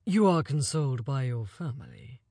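A woman's voice: rumbling low, very animated, normal speed, slightly rough, no room sound, studio quality, voice pushed down, full character voice. Woman doing a grave older male envoy. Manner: grave, hushed, formal. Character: lean older male French envoy, sorrowful and courteous.

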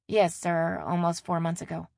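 Narrator voicing a reserved young woman, courteous and hesitant, low and slightly creaky. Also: completely flat delivery, normal speed, a little mellow, dry recording, studio quality. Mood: polite. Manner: polite, hesitant. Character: young adult city woman, polite and reserved.

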